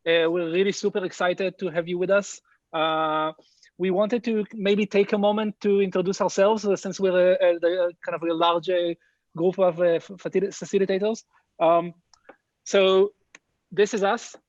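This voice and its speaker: A man's voice: high voice, fairly even intonation, moderate pace, dry recording, muffled recording. A man introducing a design workshop, hesitant with many fillers and restarts. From Israel. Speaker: workshop facilitator, design director.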